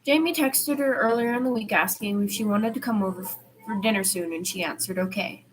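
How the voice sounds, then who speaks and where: A woman's voice: mid-range voice, a little fast, a little hiss or hum, poor audio. A woman reading a story aloud in an informal way, stumbling over words now and then and correcting herself. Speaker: amateur fan fiction podfic reader.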